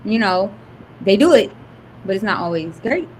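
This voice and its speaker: A young woman with a high, light voice talks in a relaxed, giggly way, filling her sentences with "like" and "um." A faint hiss runs under the recording. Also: normal speed, low-quality recording. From Detroit. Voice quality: high-pitched voice. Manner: laid-back, giggly, lots of "like" and "um". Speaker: author, podcast guest. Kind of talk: casual chat.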